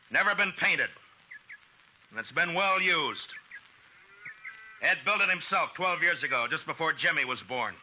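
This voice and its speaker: Bright-voiced, wry old-radio western marshal. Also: male voice, a little high, heavily acted. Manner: deliberate, dryly sardonic. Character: wry, resolute frontier town marshal, a grown man. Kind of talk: 1950s radio western acting. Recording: dull, hissy old radio recording.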